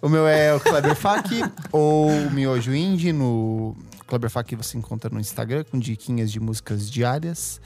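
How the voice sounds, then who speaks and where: A man's voice: mid-range voice, moderate pace, few pauses, darkish tone. A Brazilian man hosting a podcast in casual chat, with a playful, teasing manner. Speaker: podcast host.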